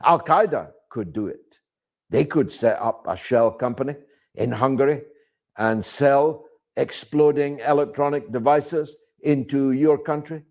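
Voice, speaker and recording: A man delivering political commentary as a monologue, phrasing deliberately and emphatically, with rhetorical questions and repetition. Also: medium pitch, darkish tone. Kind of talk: spoken political commentary to an audience.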